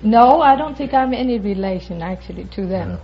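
A woman's voice: medium pitch, lively intonation, fairly quick, breathy edge. A woman from Iceland answering a host politely and matter-of-factly as a quiz show contestant. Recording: dull old broadcast, faint noise.

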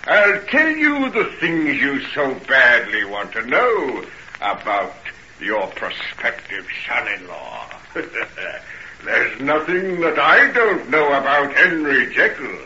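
An actor playing a sinister, gloating villain with mocking, theatrical courtesy in an old radio drama. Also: male voice, medium pitch, pushed and bright, exaggerated voice. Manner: slow, theatrical, mockingly polite. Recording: muffled noisy old radio recording.

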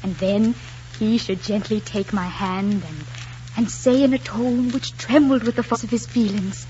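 A woman's voice: medium pitch, measured pace, warm tone, close to an everyday voice. An actress playing a spirited young Irish woman in love, with a lilting Irish accent and coquettish phrasing, in an old romantic drama. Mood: playful, coy. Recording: dull, noisy old film soundtrack.

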